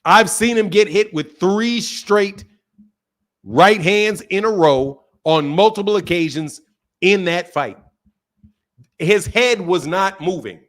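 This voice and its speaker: A man hosting a casual live-stream boxing talk show, reading out chat comments and reacting in an animated, repetitive way with plenty of swearing and slang. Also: high-pitched, slow pace. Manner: animated, swears freely, repeats himself.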